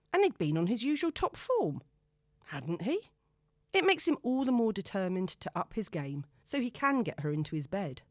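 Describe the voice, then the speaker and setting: Lady reading a novel, wry storyteller, really animated, very dry sound. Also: lowish voice, slightly rough, no room sound. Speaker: audiobook narrator. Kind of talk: expressive scripted novel narration.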